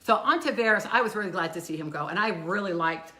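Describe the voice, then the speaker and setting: Older woman, loud, bright voice, slightly low pitch, rambling chatty co-host on a phone stream. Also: fast. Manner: rambling, self-interrupting, chatty. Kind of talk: casual chat on a live stream. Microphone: phone camera, no clip-on mic.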